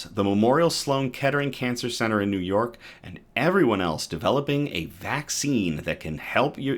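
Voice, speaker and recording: A man hosting a news show reads articles aloud, his pitch rising and falling a great deal. He stumbles and restarts over hard technical terms, then chats loosely with viewers between reports. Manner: reacts to live chat between readings. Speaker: host of a good-news show. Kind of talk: reading news articles aloud with casual asides.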